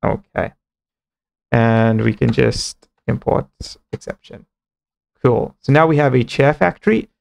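A man's voice: medium pitch, measured pace, gravelly, a little mellow. A man in his thirties giving an informal step-by-step coding tutorial, thinking aloud, on a close headset mic. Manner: step-by-step explaining, often starting with okay and cool. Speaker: programming tutorial presenter.

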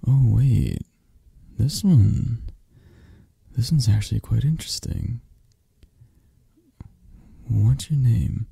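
An intimidating, possessive mafia boss played by a man in an intimate ASMR roleplay. His voice is very dark and husky, close and dry, teasing and flirtatious as it swings lazily between murmurs and firmer orders. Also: medium pitch, lively intonation, no echo, heavily acted. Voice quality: husky, gravelly, at times breathy. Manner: slow, murmuring, teasing, with drawn-out pauses.

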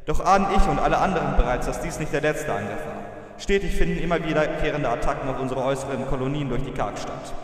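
Starship captain played by a man, mid-pitched, grave and formal, sounding distant in heavy echo. Sci-fi audio drama. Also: natural voice. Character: starship captain, stern and wary of war. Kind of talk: science fiction audio drama, narrated logbook and dialogue. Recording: very echoey, long reverb, distant.

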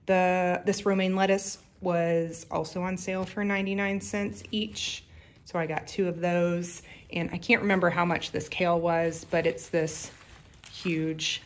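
A woman in her thirties, a family vlogger talking casually through a grocery haul and listing prices, filmed on a phone at home. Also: fairly low pitch. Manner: chatty, lists items with prices. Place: home kitchen, indoors.

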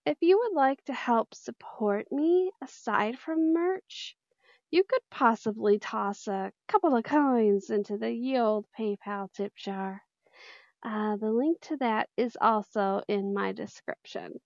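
A woman's voice: on the high side, takes it slowly, darkish tone, dry recording, dull audio. A woman chatting casually and in a friendly way to her viewers as a channel host. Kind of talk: casual outro chat to viewers.

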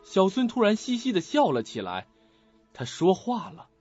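An actor narrating a suspense mystery radio play as a dramatic storyteller. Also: male voice, high-pitched, huge pitch swings, normal speed, darkish tone. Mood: suspenseful. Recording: slightly muffled audio with music.

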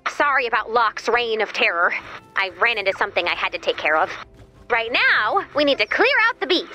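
A woman voicing a cheerful, chatty, excitable robot helper in a video game, with a perky, quirky delivery. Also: fairly high pitch, lightly acted. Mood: brisk, upbeat. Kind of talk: video game mission dialogue.

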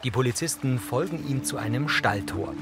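Male audio description narrator, matter-of-fact scripted delivery, mid-range voice, loud music bed. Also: medium pitch, lively intonation, moderate pace.

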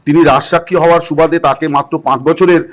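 A man's voice: a little high, fairly even intonation, fast. A man conducting a news interview by phone, asking in a probing, pressing manner.

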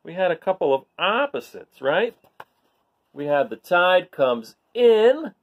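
Lively middle-aged male storytime host. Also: sits high, takes it slowly, lo-fi sound. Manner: explanatory, addressing children directly. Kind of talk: read-aloud talk to children. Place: bright living room, indoors.

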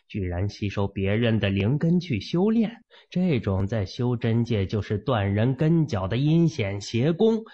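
A man's voice: medium pitch, mellow, muffled recording. A man narrating fiction in a dramatic, scripted audiobook style.

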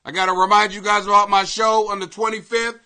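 A middle-aged American man hosting a comedy podcast in a brash, profane, excitable rant that often rises to shouting. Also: high voice, fairly quick. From Greenwich, Connecticut, United States. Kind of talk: ranting comedy podcast monologue.

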